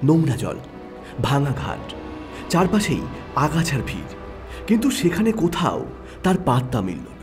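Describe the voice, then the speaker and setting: Animated male audio story narrator, higher-pitched. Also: unhurried, long pauses, over music. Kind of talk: dramatic scripted Bengali detective story narration.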